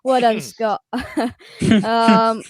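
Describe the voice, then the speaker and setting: A man's voice: extremely high pitch, steady tone, very slow, no room sound. A man hosting a casual live stream, reading out the chat with plenty of hesitant ums. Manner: hesitant, lots of ums, laughs along.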